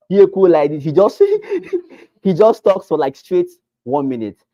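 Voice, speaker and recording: A man's voice: fairly high pitch, animated, takes it slowly, no room sound, muffled recording. A man with a Nigerian accent giving a training talk to realtors, repeating points and asking rhetorical questions. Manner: swings between loud bursts and quiet asides. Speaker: real estate trainer.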